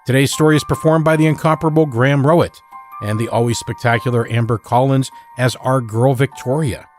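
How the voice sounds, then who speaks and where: A man's voice: mid-range voice, steady tone, brisk. A man hosting an audio fiction podcast, reading a scripted introduction and thanking supporters earnestly. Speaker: podcast host. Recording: soft music underneath.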